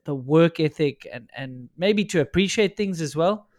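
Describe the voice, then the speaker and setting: A middle-aged South African man with a South African accent, interviewing a former teammate in a measured, thoughtful way. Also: fairly high pitch, expressive, darkish tone, dry recording, clean studio sound. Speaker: interviewer, former pro rider. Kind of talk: casual conversational interview.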